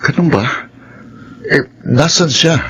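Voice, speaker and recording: An actor playing a gentle, soft-hearted young man in a Filipino radio drama. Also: fairly high pitch, takes it slowly, slightly rough, lo-fi sound, exaggerated voice. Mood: puzzled.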